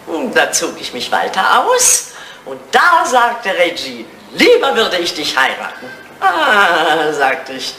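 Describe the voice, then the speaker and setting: An older lady of elegance, frank and mischievous, with a low voice that swoops freely. She speaks in a theatrical radio-play manner, in a roomy, slightly hissy vintage recording. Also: full character voice. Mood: mischievous storytelling. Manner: animated, theatrical, teasing storytelling. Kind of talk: retro radio comedy-drama acting.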